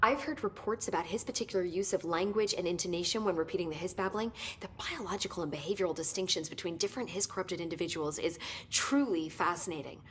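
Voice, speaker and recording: A woman's voice: lowish voice, fast, hardly any pauses, a little hiss or hum, close to an everyday voice. An actress playing an eager, analytical researcher in a video game, precise, wordy and easily absorbed in her subject. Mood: absorbed, curious. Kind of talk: cinematic game dialogue.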